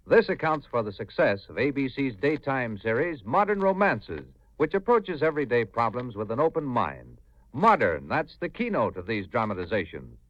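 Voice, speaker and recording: Animated male 1950s radio announcer. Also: mid-range voice, no echo. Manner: polished, declamatory. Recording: dry, muffled 1950s radio, faint music.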